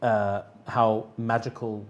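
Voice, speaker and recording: A man improvising narration as game master of a tabletop game, speaking haltingly with many filler sounds. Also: on the low side, flat delivery, muffled recording. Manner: halting, hesitant delivery full of filler sounds.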